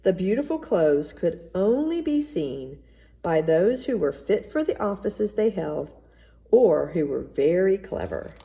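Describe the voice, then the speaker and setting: A woman reading a children's story aloud in an expressive, measured narrating style. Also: lowish voice, vocal fry. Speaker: storybook reader.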